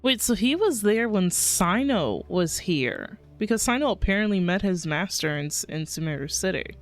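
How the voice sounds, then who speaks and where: A woman's voice: medium pitch, sing-song, moderate pace. A woman streamer giving casual reaction commentary on a game, thinking aloud in short murmured remarks.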